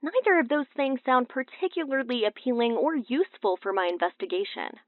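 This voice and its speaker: A woman's voice: on the high side, animated, brisk, dry recording, pitched above the performer's own voice. A woman voicing a stern, exasperated male police detective in an audiobook, blunt and pressing, through a phone filter. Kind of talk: audiobook character dialogue. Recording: tinny band-limited phone-call effect.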